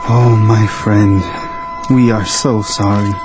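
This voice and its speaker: An actor playing an anxious, sorrowful villager, a plaintive friend in a radio drama. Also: male voice, on the low side, steady tone, slow, music in the background.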